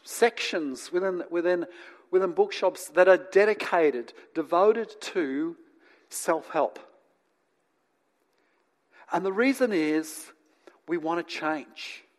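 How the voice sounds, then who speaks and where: A man from England who grew up in New Zealand and lives in Australia, preaching in a conversational, teacherly way with asides to his listeners and deliberate pauses. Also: sits high. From born in England, grew up in New Zealand, lives in Australia. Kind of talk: conversational sermon to an audience.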